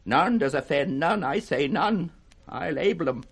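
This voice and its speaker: An older British man playing a mad, grandiose old king in a classic radio Shakespeare drama, declaiming erratically between roars and hushed brooding. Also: on the high side, lively intonation, measured pace, creaky, breathy edge, full character voice. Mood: scornful, ranting. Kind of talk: 1960s radio Shakespeare acting.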